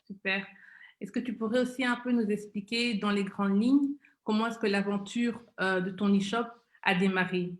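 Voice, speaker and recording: A woman hosting a live interview show, speaking in halting, repetitive phrases. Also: medium pitch, steady tone. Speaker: webmagazine founder and show host. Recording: roomy, echoing live-stream sound.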